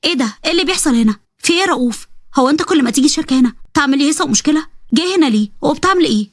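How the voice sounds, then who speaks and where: A woman's voice: sits high, animated, very fast, no room sound, studio quality, pitched above the performer's own voice. A woman playing a commanding Egyptian boss lady. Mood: stern. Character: woman company owner, firm and fair.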